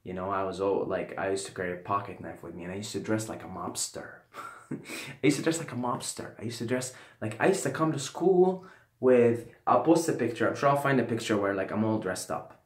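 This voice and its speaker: A man's voice: lowish voice, a little fast, echoey room. A young man from Syria telling a casual personal story, rambling and often adding "right?" and "you know". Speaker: vlogger.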